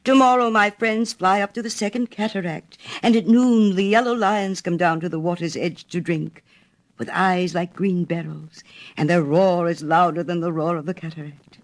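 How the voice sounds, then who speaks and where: A woman's voice: fairly low pitch, steady tone, normal speed, voice pushed up, natural voice. A woman voicing a small, kind-hearted male swallow in a storybook, with an eager, exclaiming manner.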